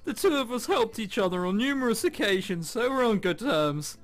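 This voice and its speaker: A man doing a let's play of a visual novel, reading aloud and reacting in a giggly, excitable way. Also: high voice, a little fast. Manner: giggly, excitable, often breaking into laughter while reading. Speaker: video game commentator. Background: game background music.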